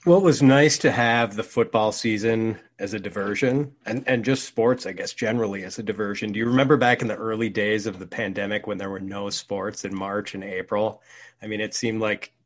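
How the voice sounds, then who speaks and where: Modest American guest with a low voice. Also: male voice, fairly quick. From United States. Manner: modest, friendly, many fillers. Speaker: podcast guest. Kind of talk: casual chat.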